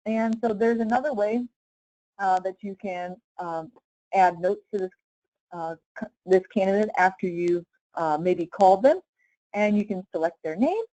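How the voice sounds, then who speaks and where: A woman leading a casual live software tutorial as a trainer, explaining step by step in an informal way with frequent check-in questions. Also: mid-range voice, normal speed, dry recording, muffled recording.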